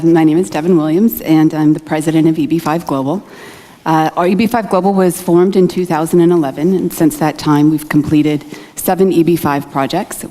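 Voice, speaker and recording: A woman presents her company on a conference panel, fluent and businesslike. Her voice sits low and mellow. It echoes through a large hall. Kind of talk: panel self-introduction. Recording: hall reverb from a conference stage.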